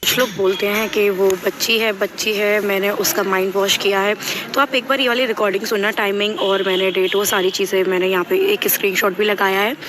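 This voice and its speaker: A woman's voice: mid-range voice, fairly even intonation, fairly quick. A woman vlogger speaking casually to her viewers, earnest and explanatory. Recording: clear vehicle and ambient noise.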